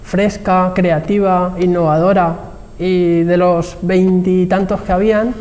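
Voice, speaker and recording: A man's voice: high voice, steady tone, takes it slowly, darkish tone. A man with a Spain Spanish accent talking as an expert guest in an interview, hesitant and often rephrasing as he goes. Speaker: guest expert, marketing professional and trainer. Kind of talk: conversational interview talk. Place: TV programme set, indoors. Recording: reverberant hall-like room, faint background noise.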